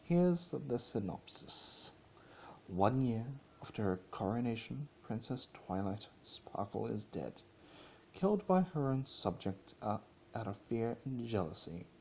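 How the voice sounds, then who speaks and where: A man's voice: on the low side, sing-song, measured pace, darkish tone, slight background noise, rough recording. A man giving an amateur dramatic reading of a story, stumbling over and repeating words as he goes. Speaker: amateur reader of fan fiction, channel host.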